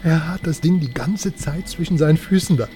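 Actor as chatty, wry buddy over music. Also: male voice, a little high, a little fast, dark tone, lightly acted. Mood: amused excitement. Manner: colloquial, amused running commentary. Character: chatty, excitable, wry male buddy of an investigator. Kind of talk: radio crime drama, colloquial dialogue.

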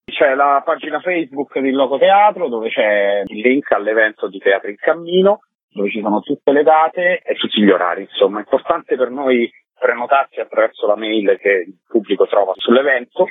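A man's voice: medium pitch, lively intonation, moderate pace, husky, no echo. A man, a theatre director being interviewed for radio, explaining his project over a phone call. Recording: muffled narrow phone line.

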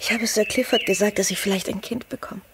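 An actress playing a passionate, hesitant young lady in a radio drama, speaking in breathy, halting phrases. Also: medium pitch, fairly even intonation, close to an everyday voice. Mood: shy, hopeful. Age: young adult. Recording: loud outdoor noise with birdsong. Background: birdsong.

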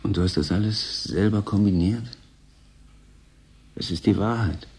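A weary-hearted male station worker in a science-fiction radio play. His voice is deep, dark and husky, and he speaks in a subdued, doubting, questioning way. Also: unhurried. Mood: resigned, searching. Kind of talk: radio drama acting.

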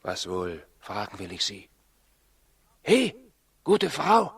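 An actor playing a forthright travelling stranger on a quest in a 1960s German radio play. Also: male voice, on the low side, wildly swooping pitch, slow pace, gravelly, breathy edge, no echo, clean studio sound, heavily acted. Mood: calling out. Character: forthright travelling stranger seeking a newborn king.

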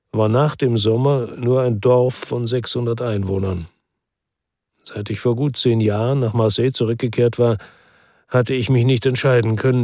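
A man's voice: fairly low pitch, darkish tone, husky, dry recording. An actor playing a middle-aged ex-cop who tells his own story in a radio crime drama, laid-back, wry and reflective. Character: contented male ex-cop living by the sea. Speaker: first-person narrator.

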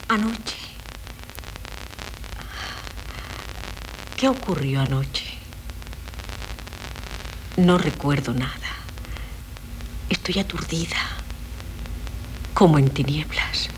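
Coy, theatrical young wife, noisy recording. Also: female voice, low voice, lively intonation, slow pace, long pauses. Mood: dazed. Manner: theatrical, hesitant, plaintive delivery. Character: young wife feigning madness, coy and dramatic. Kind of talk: 1970s Spanish radio theatre acting. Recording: noisy 1970s radio recording.

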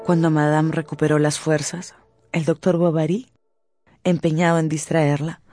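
A woman's voice: sits low, little pitch movement, moderate pace, darkish tone. A woman as narrator, giving a dramatic scripted literary narration for a radio drama. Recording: music under the narration.

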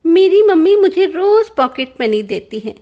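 Woman voicing an innocent little schoolgirl, very high-pitched. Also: sing-song, unhurried, heavily acted. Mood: earnest, innocent. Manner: childlike, earnest. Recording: dull lo-fi recording.

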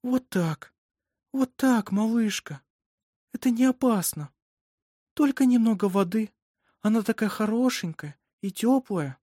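A woman voicing a fussy but tender-hearted lady in an audiobook, speaking in expressive exclamations. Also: a little high, measured pace, pauses often, husky, no room sound, clean studio sound, voice pushed up.

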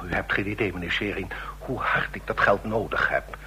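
An actor in an old radio play playing a shifty, desperate secret agent who speaks with nervous, pleading intensity. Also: male voice, low voice, moderate pace, sharp tone. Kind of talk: vintage Dutch radio play acting. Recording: noisy, humming old radio recording.

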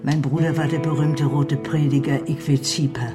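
A woman acts out a bitter, grieving mother in a Western radio drama. She tells her story gravely and with emotion, with music playing clearly behind her. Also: low-pitched, flat delivery, normal speed, natural voice. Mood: bitter recounting. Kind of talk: German radio play acting.

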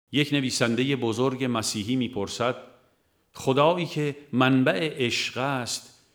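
A man reading a religious book aloud as scripted narration, in a measured, formal and solemn manner. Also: medium pitch. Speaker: audiobook reader.

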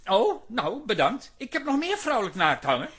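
An eager, arty son in a radio drama, easily flustered and stammering. The voice is high for a man, forceful and bright, yet husky. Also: huge pitch swings, moderate pace, exaggerated voice. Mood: eager, casual. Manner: hesitant, stammering. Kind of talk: Dutch radio drama acting.

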